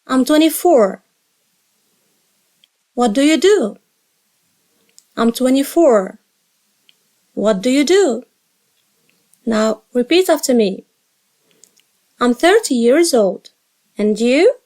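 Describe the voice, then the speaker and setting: Woman, English teacher, lively sing-song intonation, slow drill delivery. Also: high-pitched. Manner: slow, carefully spaced drill reading. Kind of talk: repeat-after-me dialogue drill.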